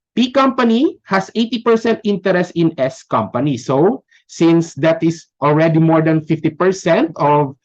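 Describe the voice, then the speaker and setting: A man with a Filipino accent giving a conversational lecture, often checking in with short tag questions. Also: a little high. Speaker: accounting lecturer. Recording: dull, lo-fi voice recording.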